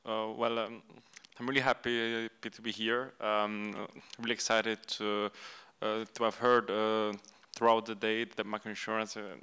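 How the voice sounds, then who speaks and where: A man's voice: lowish voice, level tone, measured pace, bright, forceful tone, smooth. A man with an Italian accent speaking on a conference panel, hesitant and full of fillers.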